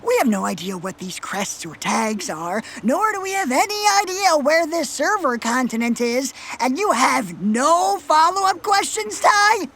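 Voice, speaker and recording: A woman's voice: high voice, sing-song, measured pace, hardly any pauses, fairly bright tone, heavily acted. A woman voicing a sceptical, analytical child adventurer in a cartoon parody, articulate and matter-of-fact with an exasperated edge. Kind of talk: comedic animated parody voice acting.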